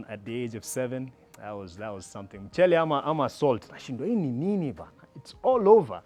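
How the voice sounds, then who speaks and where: Kenyan male guest in his thirties, reflective and joking, casual storytelling over soft background music. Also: medium pitch. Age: 30s. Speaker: journalist and guest. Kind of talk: casual chat mixing English and Sheng slang.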